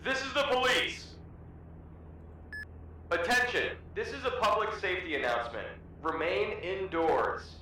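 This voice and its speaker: A man's voice: high voice, lively intonation, measured pace, exaggerated voice. A man playing a stern police officer giving clipped, authoritative announcements through a PA megaphone. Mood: stern commanding. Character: stern commanding male police officer on a loudspeaker. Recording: echoing, noisy, distant loudspeaker.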